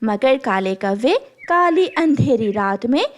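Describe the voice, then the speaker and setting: Slow, expressive woman storyteller. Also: fairly high pitch. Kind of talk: scripted children's story narration.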